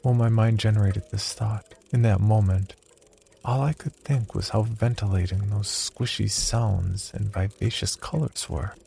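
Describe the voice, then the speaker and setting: An actor playing a haunted, unravelling man confessing dark thoughts in a horror audio drama diary, with brooding, measured delivery. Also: lowish voice, fairly even intonation, creaky, a little hiss or hum. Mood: morbid fascination. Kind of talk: horror audio drama monologue, first-person diary narration.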